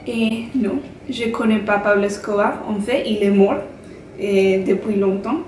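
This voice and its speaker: A woman's voice: mid-range voice, fairly even intonation, measured pace. A young woman from Colombia speaking French with a Colombian Spanish accent, talking frankly and chattily to camera as a vlogger and laughing between points. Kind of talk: casual talk to camera. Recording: roomy sound from a distant mic, faint hiss.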